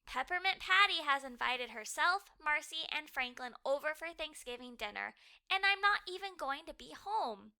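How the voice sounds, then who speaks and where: A woman's voice: sits high, expressive, normal speed, gravelly, bright, forceful tone, pitched above the performer's own voice, exaggerated voice. A woman voicing a glum, anxious young boy in a storybook, with a groaning, complaining manner.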